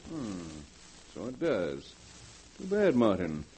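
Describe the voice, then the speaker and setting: An actor playing a smug, cynical wealthy businessman in 1940s radio drama style, sardonic, condescending and deliberate. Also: fairly low pitch, wildly swooping pitch, mellow, a little hiss or hum, full character voice. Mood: mocking. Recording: muffled vintage 1940s radio recording.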